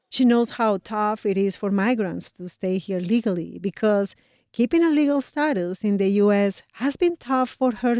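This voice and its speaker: A woman news reporter narrating a scripted radio feature in a measured, clear way. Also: medium pitch, warm tone, dry recording.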